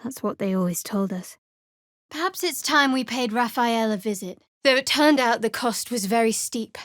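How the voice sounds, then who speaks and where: An actress playing a guarded, sardonic young woman cleric of a dark goddess in a video game. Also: on the high side, no room sound, close to an everyday voice. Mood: resolute, grave. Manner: dry, sardonic, measured. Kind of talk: video game character dialogue.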